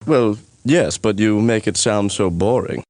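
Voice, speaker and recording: Man playing a smug, low-pitched rich cartoon gent. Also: unhurried, darkish tone, no echo, studio quality, natural voice. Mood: self-assured. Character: self-satisfied wealthy male estate owner on the witness stand. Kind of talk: animated courtroom cartoon voice acting.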